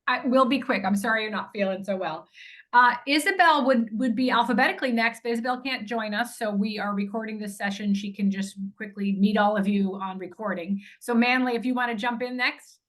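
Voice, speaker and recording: A woman's voice: a little high, steady tone, a little fast, fairly bright tone. Chatty woman running a video call. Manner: chatty, with restarts and fillers. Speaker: event organizer.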